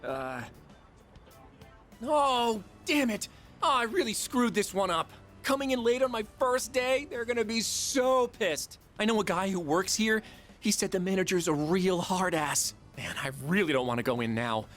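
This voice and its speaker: Animated sheepish teenage slacker. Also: male voice, sits high, moderate pace. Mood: dismayed. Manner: rambling, sheepish, slangy. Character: goofy, hapless teenage schoolboy slacker, pleading with a friend. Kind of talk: casual video game dialogue. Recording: music under the voice.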